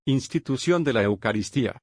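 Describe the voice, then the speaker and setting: A man narrating a dramatized gospel reading at a slow, steady pace. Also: mid-range voice, no echo, clean studio sound.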